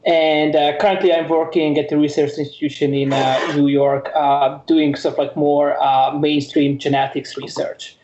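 A man's voice: fairly high pitch, flat delivery, moderate pace, few pauses, reverberant, highs cut off, background noise. A man from Hungary, a researcher, answering interview questions in a hesitant way with many fillers. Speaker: researcher guest.

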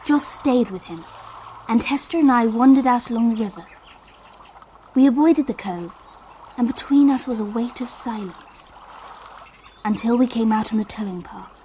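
An actress playing an anxious English girl narrating her summer in a radio drama, with hushed, intense, deliberate storytelling. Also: a little high, slight background noise, natural voice. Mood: subdued, uneasy.

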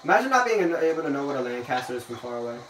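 A man's voice: mid-range voice, sing-song, very fast, fairly bright tone. A young man hosting a gaming video, chatting casually and rambling, playfully bossy about the plan. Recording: echoey room, mic far, noisy.

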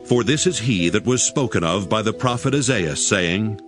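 A man's voice: fairly low pitch, expressive, moderate pace, over music. A man narrating scripture from a script in a solemn, formal manner.

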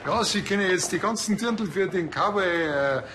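A man playing an excitable, indignant middle-aged policeman with a Bavarian accent in a TV crime comedy. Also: high voice, moderate pace, slight background noise.

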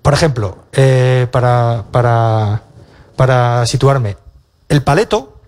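A man, a comedian talking on a stage panel through a handheld mic, halting with many restarts and a wry self-mocking tone. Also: mid-range voice, darkish tone. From Castilla-La Mancha, Spain. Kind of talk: panel discussion. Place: stage of a cultural centre auditorium.

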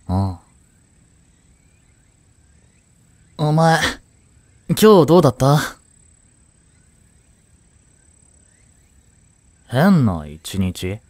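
A man playing a laid-back, cheeky, protective male high school student in a romantic audio drama, with casual, slangy, reassuring delivery. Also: a little mellow, dry recording. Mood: easygoing, reassuring.

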